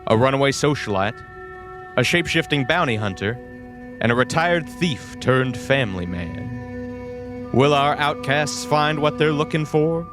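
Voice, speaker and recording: A man's voice: mid-range voice, lively intonation, measured pace, over music. Man narrating a dramatic trailer.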